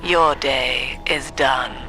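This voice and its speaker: A cold, serene woman leading a cult in a video game. She speaks slowly and solemnly, with quiet menace. Music plays behind her. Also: very deep voice, steady tone, exaggerated voice, rough recording. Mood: coldly menacing. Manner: measured, solemn.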